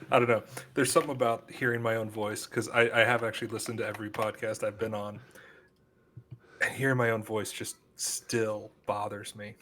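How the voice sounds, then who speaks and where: A man's voice: fairly low pitch, little pitch movement, moderate pace, bright, forceful tone, a little hiss or hum. A man guesting on a casual podcast, joking and self-deprecating.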